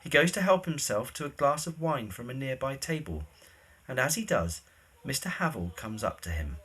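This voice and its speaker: A man's voice: mid-range voice, big pitch swings, normal speed, a bit bright, slightly rough. A man reading scripted, scene-setting narration for a period drama.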